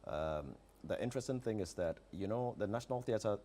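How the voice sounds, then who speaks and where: A Ghanaian man speaking as a theatre producer in a studio interview, with measured, formal phrasing. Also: on the low side, animated, warm tone. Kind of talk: interview talk. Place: TV studio set.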